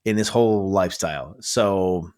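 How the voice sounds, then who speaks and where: A man's voice: deep voice, normal speed, a little mellow. A man guesting on a podcast, chatting casually about movies, swearing freely and laughing at his own jokes.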